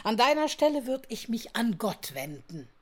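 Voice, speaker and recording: A woman's voice: on the high side, sing-song, normal speed. An actress playing a reproachful, anxious mother in a radio drama, nagging and wary.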